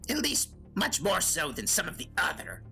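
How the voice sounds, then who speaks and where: A woman's voice: fairly low pitch, huge pitch swings, unhurried, gravelly, bright, forceful tone, faint music behind, exaggerated voice. A woman voicing a slick, crude male goblin who hosts a comic advice show. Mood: sly coaching. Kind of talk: comedic talk-show hosting in character.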